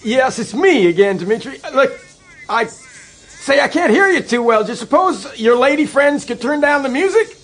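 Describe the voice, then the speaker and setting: Meek US leader, high-pitched, rough-edged husky tone, apologetic, stammering, chummy, radio comedy. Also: male voice, big pitch swings, moderate pace, a bit bright, faint music behind, heavily acted. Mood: sheepish. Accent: American. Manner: hesitant, placating, awkwardly chummy. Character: meek, apologetic, flustered American president. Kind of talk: radio satire acting, one-sided phone call.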